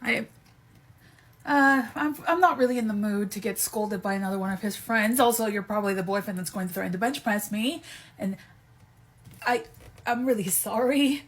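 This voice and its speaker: Woman playing a husky, nervous, apologetic young man. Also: mid-range voice, close to an everyday voice. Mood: nervous, defensive. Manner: rushed pleading, sweary, stammering. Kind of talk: amateur fan audio drama.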